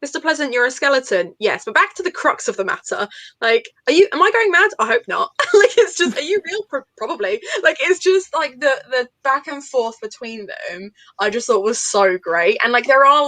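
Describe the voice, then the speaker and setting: A woman's voice: fairly high pitch, sing-song, moderate pace, fairly bright tone, dry recording. A woman chatting casually and enthusiastically about books as host of an informal group livestream. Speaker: host of a book readalong livestream. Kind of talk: casual group book chat.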